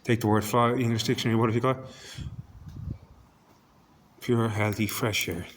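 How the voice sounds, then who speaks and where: Irish guy vlogging, husky hoarse voice, low and pretty monotone, keeps tripping over words. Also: normal speed, slight background noise. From Ireland. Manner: stumbling, repeats words while searching for them. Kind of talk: rambling monologue to camera.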